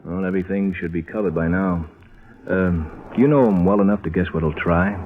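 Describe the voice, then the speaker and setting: A male actor playing a low-key, mildly doubtful police lieutenant in an old-time radio drama. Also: brisk, natural voice. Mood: doubtful, sympathetic. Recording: tinny, noisy old radio recording.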